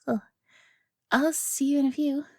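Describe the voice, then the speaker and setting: A woman's voice: sits high, fairly even intonation, unhurried, warm tone, no echo, clean studio sound. A woman playing a friendly, nervous fox companion in a fantasy audio roleplay, speaking to the listener in a hesitant, chatty way.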